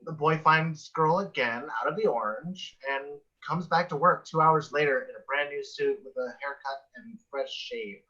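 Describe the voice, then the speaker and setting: A man's voice: a little high, normal speed, hardly any pauses, pushed and bright. A man acting a sassy, nitpicking, gossipy office coworker in a sitcom table read, arch and wry, over a muffled video call.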